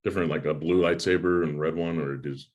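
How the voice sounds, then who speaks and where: A man hosting a movie review chat in a casual, halting way, often repeating his words. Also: extremely low pitch, fairly even intonation, darkish tone. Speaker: host of a movie review channel. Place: home room with a desk, on a video call.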